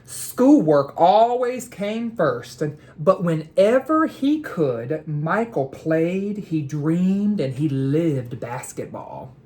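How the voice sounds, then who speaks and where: A woman educator hosts a storybook read-aloud. Her voice sits a little low for a woman, and she takes her time, setting out each phrase in a deliberate, measured way. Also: sing-song, dark tone. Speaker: educator reading a nightly children's read-aloud.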